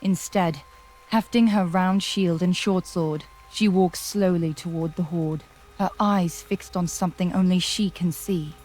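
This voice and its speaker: A woman's voice: on the low side, steady tone, measured pace, darkish tone, poor audio. A woman giving dramatic, scripted narration for a fantasy audio adventure.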